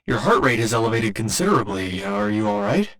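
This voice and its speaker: A man's voice: lowish voice, big pitch swings, fairly quick, fairly bright tone. A man voicing a polite, earnest robot boyfriend in an ASMR roleplay, speaking in formal, precise and naively literal language. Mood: concerned, soothing. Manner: formal, precise, careful wording with occasional glitchy stutters.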